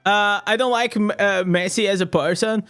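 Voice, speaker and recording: A man's voice: high voice, fairly even intonation, moderate pace, fairly bright tone, clear, resonant tone, soft background music. A young man from Portugal, a native Portuguese speaker, chatting casually on a live stream, rambling and swearing with many restarts. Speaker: streamer reading chat.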